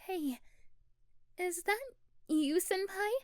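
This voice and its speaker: Sweet flustered schoolgirl with a crush, acted by a woman, high voice, giggly and halting, ASMR roleplay, very dry sound. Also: dry recording. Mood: polite, concerned. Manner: hesitant, giggly, bashful.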